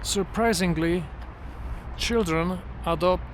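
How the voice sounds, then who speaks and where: Middle-aged man, English learned as an adult; slow, thoughtful vlog delivery, slightly raised pitch, recorded outside with clear street traffic. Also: poor audio. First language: not English. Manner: unhurried, reflective, with many restarts and fillers. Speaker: vlogger. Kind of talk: casual walking vlog monologue. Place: outdoors near trees and traffic. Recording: outdoor traffic noise. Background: street traffic noise.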